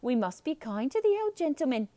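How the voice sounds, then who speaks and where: Woman acting a talking teapot, motherly, kind, raised pitch, gentle persuasion, children's storybook. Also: very animated, a little fast, dry recording. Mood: kindly.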